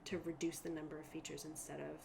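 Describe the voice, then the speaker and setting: A woman's voice: low voice, level tone, fast talker, creaky, darkish tone, a little hiss or hum. A woman game master explaining tabletop rules to players in an informal way and reading out lists of examples.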